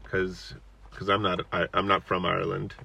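A middle-aged man, a podcast host and parent, chatting casually with his child, relaxed and chuckling, asking lots of questions. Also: low voice, moderate pace, creaky, slight background noise. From United States.

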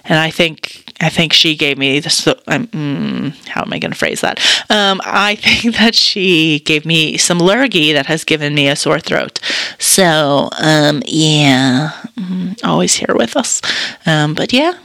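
A woman's voice: low voice, animated, unhurried, vocal fry, sharp tone, no room sound. Chatty woman podcaster with a sore throat. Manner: chatty and self-deprecating, rambling. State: sore throat, slightly raspy. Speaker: podcast co-host. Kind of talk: solo casual chat.

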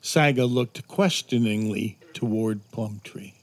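A man reading scripted narration for a children's audio drama in a measured, dramatic storytelling manner. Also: on the low side, no echo.

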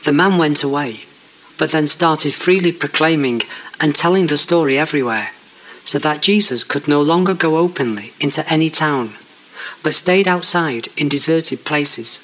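A man's voice: a little high, moderate pace, a bit bright, low-quality recording. A man reading a Gospel passage as scripted narration. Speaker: scripture reader.

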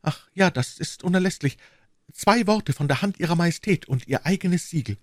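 A brave young woman servant in a storybook, acted out by a man. The voice is lifted in pitch, eager and pleading, and it reassures with earnest devotion. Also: expressive, normal speed, dry recording, studio quality. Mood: urgent, assuring.

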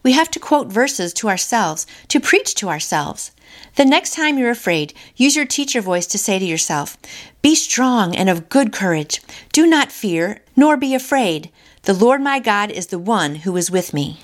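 A woman's voice: medium pitch, very animated, measured pace. A woman hosting a devotional, reading and commenting in an encouraging, friendly way that speaks directly to listeners. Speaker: devotional podcast host. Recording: voice over background music.

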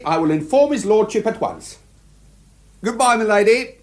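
Formal, unflappable English valet. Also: male voice, high voice, lively intonation. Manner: formal, measured, servile yet insistent. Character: unflappable, deferential, quietly firm English valet. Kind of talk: classic radio drama acting.

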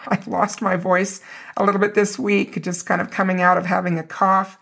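A woman with a hoarse, raw voice, hosting a casual religious podcast and rereading quoted passages for emphasis. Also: mid-range voice, flat delivery, normal speed, fairly bright tone. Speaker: podcast host.

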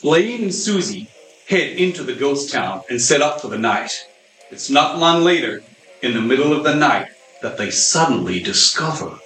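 A man's voice: medium pitch, sing-song, normal speed, fairly bright tone. A man narrating a scripted old-time style radio serial as its hammy announcer. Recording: echoey, distant, faint music behind.

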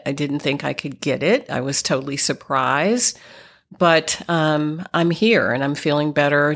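A woman's voice: low-pitched, fairly bright tone. A woman hosting her podcast alone, sounding congested and nasal as she recovers from illness, rambling with many ums and restarts. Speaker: podcast host. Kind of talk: casual solo podcast talk.

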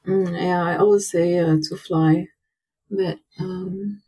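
A woman's voice: lowish voice, steady tone, takes it slowly, warm tone, husky, soft background music. A woman, a native Arabic speaker, giving casual, thoughtful and somewhat hesitant answers as an interview guest. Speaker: podcast guest, tech entrepreneur.

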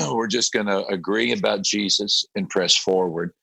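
A man, a pastor, with a raspy voice after a late night, talking in halting conversation, often repeating words and clearing his throat. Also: on the low side, sharp tone, no room sound, poor audio. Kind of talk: conversational interview about a prayer group.

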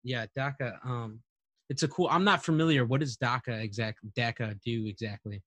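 A man's voice: mid-range voice, moderate pace, fairly bright tone, dry recording. A young man interviewing a friend, asking friendly, rambling questions and saying "dude" and "man". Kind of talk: casual interview.

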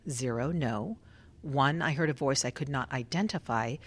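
An actress playing a formal, probing government researcher in a radio drama, with clipped, measured questioning. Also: female voice, a bass voice, husky. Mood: clinical, procedural. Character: controlled, probing female government researcher running a clinical survey.

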